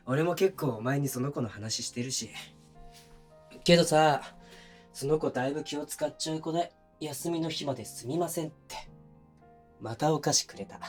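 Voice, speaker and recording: A man acting as a considerate but oblivious young boyfriend in an intimate audio drama, talking casually and softly with pauses. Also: a little high, faint music behind, lightly acted. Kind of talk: intimate situation-voice audio drama, naturalistic one-sided dialogue.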